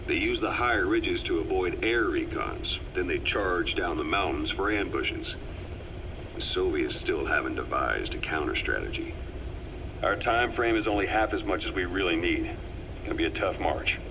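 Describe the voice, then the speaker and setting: An actor playing a composed, worldly military operative giving a measured briefing in a video game. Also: male voice, sits low, animated, background noise. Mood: brisk, instructive. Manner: measured, matter-of-fact, explanatory.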